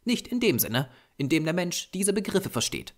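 A man acting an earnest high-voiced elderly doctor. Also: sing-song, normal speed. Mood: lecturing. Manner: insistent, lecturing, formal. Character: small, lean, grey-haired Japanese doctor, patient, earnest occultist. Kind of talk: audiobook character voice.

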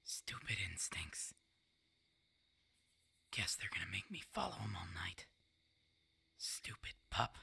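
A woman voicing a protective, steady werewolf mentor in terse, coaxing whispered phrases for an ASMR roleplay. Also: rumbling low, takes it slowly, pauses often, exaggerated voice. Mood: grumbling. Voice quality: breathy, husky.

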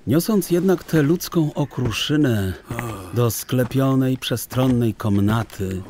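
A man narrating a children's story in an expressive, theatrical, deliberate literary manner. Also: lowish voice. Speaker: audiobook narrator.

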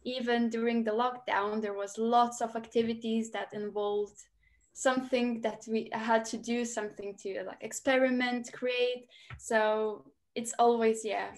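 A young woman with a Lithuanian accent and a fairly high voice. She speaks informally, as a student sharing her experience on a video call. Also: little pitch movement, unhurried. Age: 20s. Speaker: student on a panel. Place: indoor room at home, video call.

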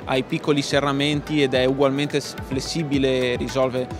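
A man explains a product in a flat, level way, his voice sounding a little distant. Loud music nearly covers him. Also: medium pitch, moderate pace.

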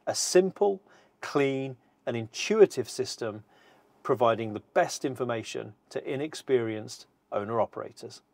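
Measured middle-aged man presenting. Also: mid-range voice, darkish tone, no room sound. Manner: measured, precise, explanatory. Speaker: company presenter. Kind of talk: scripted product walkthrough. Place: seated in aircraft cockpit in a large hangar.

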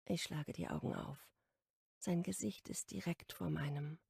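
A woman reading a romance audiobook in the first person, intimate and expressive. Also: sits low, a little slow, creaky, slightly rough, dry recording, clean studio sound. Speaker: audiobook narrator.

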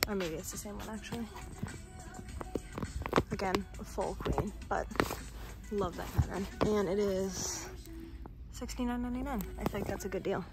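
A woman's voice: mid-range voice, moderate pace, long pauses, low-quality recording. A young American woman giving chatty, appreciative shop-with-me commentary in a casual vlog style. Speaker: lifestyle vlogger. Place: inside a retail store. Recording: music over handheld store recording. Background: music.

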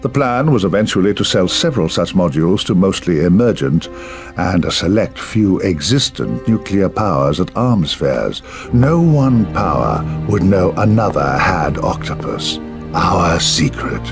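An actor playing a weary, urbane old spymaster in a video game, musing theatrically and cryptically in drawn-out phrases. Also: male voice, low voice, full character voice. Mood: grave. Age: older. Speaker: mysterious spymaster. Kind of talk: video game cutscene dialogue and narration.

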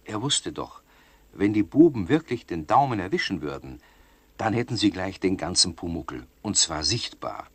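An actor as the storyteller of a children's radio play, addressing young listeners directly. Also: male voice, low-pitched, sing-song, moderate pace, breathy edge, no echo, full character voice. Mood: engaged storytelling. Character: storyteller narrating a children's tale. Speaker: narrator.

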